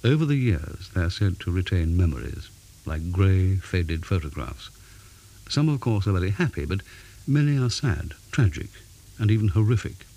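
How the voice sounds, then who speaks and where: Deep, mellow man reading a ghost story. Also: very animated, low-quality recording. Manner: measured, dramatic storytelling with pauses. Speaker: story reader. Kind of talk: scripted narration of a ghost story. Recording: faint background hiss.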